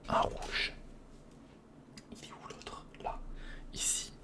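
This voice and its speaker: Hushed whispering ASMR builder. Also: takes it slowly. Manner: muttering short step-by-step remarks, informal, addressing the viewer directly. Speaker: ASMR video creator and host.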